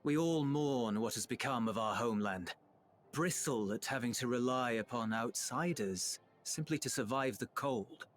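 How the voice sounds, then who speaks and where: Male actor as earnest young imperial officer, solemn, impassioned, mid pitch, video game drama, background music. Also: normal speed, natural voice. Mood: solemn, resolute.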